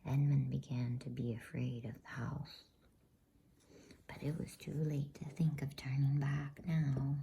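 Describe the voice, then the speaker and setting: A woman reading a storybook as ASMR narration in a hushed, soothing, unhurried whisper close to the microphone. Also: rumbling low, little pitch movement, gravelly, husky. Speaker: bedtime story reader.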